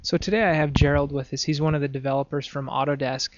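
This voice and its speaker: A man's voice: fairly high pitch, steady tone, quick pace, dull audio, very noisy. A man hosting a podcast, talking casually and loosely, with many restarts and fillers. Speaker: podcast host.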